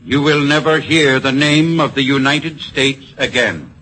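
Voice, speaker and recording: An actor playing a stern military judge who pronounces sentence in a formal, declamatory radio style. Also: male voice, medium pitch, a little slow, pushed and bright, breathy edge, low-quality recording. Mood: stern, pronouncing. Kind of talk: old-time radio acting.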